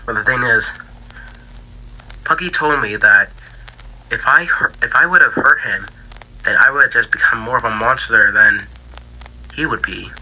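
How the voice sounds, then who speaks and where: A man voicing a bitter, grieving young pig character in a halting, stammering interview for an analog horror fan drama. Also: medium pitch, sharp tone, highs cut off, slight background noise. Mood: bitter, vengeful. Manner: halting, stammering, repeats himself, trailing off. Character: grieving, bitter young male cartoon pig, infection survivor.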